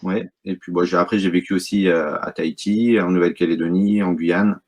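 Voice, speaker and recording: A middle-aged man from Brittany with a very low voice speaks as an interview guest. He talks about his work haltingly, with frequent fillers and restarts. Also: fairly even intonation, a little mellow. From Brittany, France. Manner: hesitant, many fillers and restarts. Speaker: wildlife photographer, guest. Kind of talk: casual interview talk.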